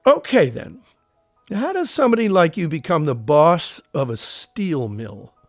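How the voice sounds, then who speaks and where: An actor playing a grown war veteran, curious yet uneasy, with a plainspoken conversational manner in an audio drama. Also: male voice, fairly high pitch, sing-song, unhurried, no echo, studio quality, faint music behind, exaggerated voice. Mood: curious, friendly.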